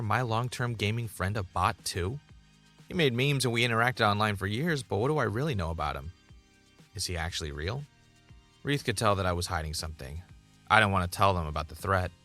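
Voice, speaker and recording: A man's voice: fairly low pitch, fairly quick, sharp tone. A man playing a pessimistic, suspicious gamer, telling his story as introspective, dramatic first-person narration in a fiction podcast. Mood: uneasy, suspicious. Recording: music under the voice.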